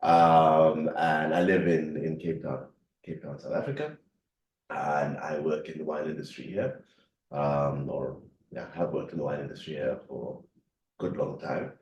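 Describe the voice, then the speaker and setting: A man's voice: very deep voice, a little slow, a little mellow, recorded from a distance, slight background noise. A man from Kenya, a guest in a casual kitchen conversation, who laughs often and talks with many fillers. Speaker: interview guest. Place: home kitchen. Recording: roomy, from off-mic.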